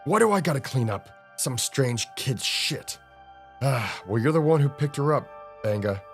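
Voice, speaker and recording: Guy reading a crabby old alien granny soldier, blunt, pitched up a bit, music behind. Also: expressive, moderate pace, lightly acted. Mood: grumbling. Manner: blunt, grumbling. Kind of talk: manga read-aloud. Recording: music under the voice.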